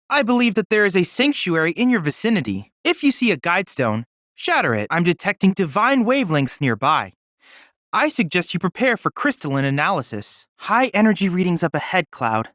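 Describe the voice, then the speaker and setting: An actor playing a polite, earnest boyish android research assistant in a video game, with formal, precise, bookish diction. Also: male voice, high voice, a bit bright, no room sound, clean studio sound. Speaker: game character, research guide. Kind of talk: scripted video game voice acting.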